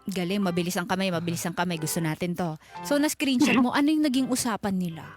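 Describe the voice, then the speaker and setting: A woman's voice: fairly low pitch, fast. A woman with a Filipino accent chatting casually as host of a radio call-in advice show. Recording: music bed behind the voice.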